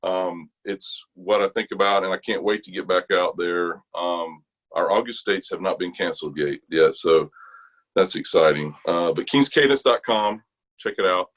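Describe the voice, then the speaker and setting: A man's voice: deep voice, moderate pace, a bit bright, low-quality recording. An American man chatting casually as an interview guest, thinking aloud in loose, broken phrases. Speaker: interview guest, bass gospel quartet singer.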